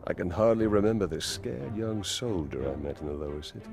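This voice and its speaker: A seasoned soldier in a video game, low and creaky in voice. He is guarded and sombre, with an understated delivery. Music runs behind him. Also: lively intonation, brisk. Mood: dry, companionable.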